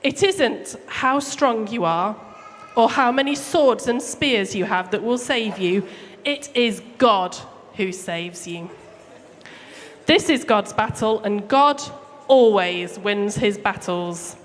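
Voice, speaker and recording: A woman's voice: mid-range voice, expressive, slow pace. A woman voicing a brave, trusting small shepherd boy, earnest and declaiming in a live Bible story. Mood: defiant faith. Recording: echoey hall, clear background noise.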